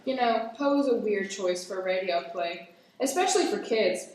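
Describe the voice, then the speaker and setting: A woman's voice: medium pitch, animated, moderate pace, a little mellow, slight background noise, close to an everyday voice. A young woman playing a flippant, bookish, casually teasing character in a radio drama. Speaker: actor in an amateur radio play. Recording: distant, very echoey room.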